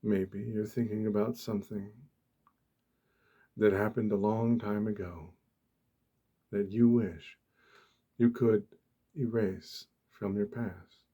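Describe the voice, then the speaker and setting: A man speaking softly and deliberately, with long pauses, guiding a meditation in a gentle ASMR style. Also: fairly low pitch, steady tone. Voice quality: soft, hushed. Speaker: ASMR host, meditation guide. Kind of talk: soft-spoken ASMR guided meditation.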